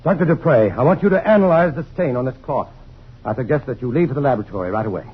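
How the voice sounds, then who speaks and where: An actor playing an earnest, protective, determined scientist hero in an old-time radio drama. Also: male voice, medium pitch, lively intonation, quick pace, breathy edge, muffled recording. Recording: noisy, phone-thin vintage radio recording.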